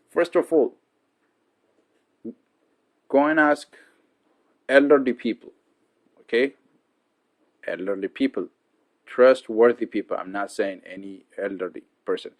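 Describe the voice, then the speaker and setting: A man's voice: mid-range voice, slow, pauses often. A young man from Ethiopia, a student talking earnestly to his peers in a casual monologue, often checking in with "okay" and "right?". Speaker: student giving advice to peers.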